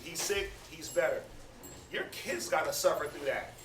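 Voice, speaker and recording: A man in his thirties gives a laid-back scripture talk, stammering and doubling back on his words. His voice sits a little high for a man. He is recorded from a distance in an echoey room with a faint hiss behind him. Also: normal speed, fairly bright tone. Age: 30s. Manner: conversational, preachy, stammers and repeats words. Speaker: Bible study speaker. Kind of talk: casual teaching talk.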